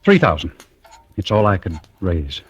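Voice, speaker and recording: An actor playing an earnest, hot-headed upper-class British gentleman in love in an old-time radio thriller, halting and blustering. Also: low-pitched, very animated, husky, full character voice. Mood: awkward, earnest. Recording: vintage radio drama recording.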